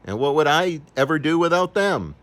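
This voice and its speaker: Older man as a gentle, earnest storybook bus driver, higher-voiced. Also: big pitch swings, normal speed. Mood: kindly. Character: kindly, worried male bus driver who loves animals.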